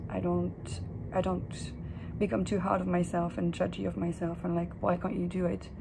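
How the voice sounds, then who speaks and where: Soft, reflective young woman vlogging. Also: lowish voice, level tone, moderate pace, vocal fry, noisy background. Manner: soft, reflective, hesitant with fillers. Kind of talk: casual vlog talk to camera. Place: hotel room, indoors.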